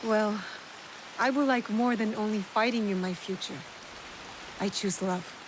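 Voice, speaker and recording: A woman's voice: medium pitch, unhurried, background noise, lightly acted. An actress playing a determined young female shinobi in a video game, speaking in a terse, direct and serious manner. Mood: calm. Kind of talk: video game cutscene dialogue.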